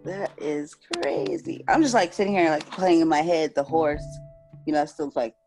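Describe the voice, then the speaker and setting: Host: low-pitched woman, slow and easygoing, with guitar music clearly in the background. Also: animated. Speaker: podcast host. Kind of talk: casual podcast chat.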